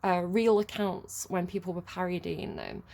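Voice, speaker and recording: Chatty British woman with gravelly voice. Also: fairly low pitch, normal speed, a little mellow. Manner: chatty and rambling, laughs often. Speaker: tarot reader. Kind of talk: casual tarot reading chat.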